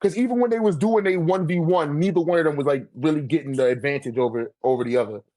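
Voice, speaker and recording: A man's voice: fairly high pitch, fast, mellow, dry recording. A man in casual debate, arguing his points in slangy, profane language. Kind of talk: casual debate about a manga tier list.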